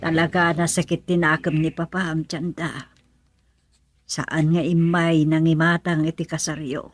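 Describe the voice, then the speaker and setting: A woman's voice: sits low, level tone, measured pace, faint music behind. A woman playing a worried, doubting relative of a bride in an Ilocano radio drama. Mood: resigned.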